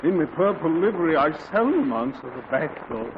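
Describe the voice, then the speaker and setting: A man's voice: a little high, very animated, brisk. An actor playing a pompous, overly dignified male butler in a 1940s radio comedy, with stiff formal diction, heard through a hissy, dull old radio recording. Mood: haughty.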